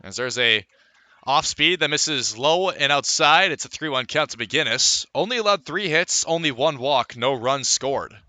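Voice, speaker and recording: A man calling live baseball play-by-play on radio, filling in player stats between pitches. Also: lively intonation, measured pace, sharp tone, dry recording. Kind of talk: live sports commentary.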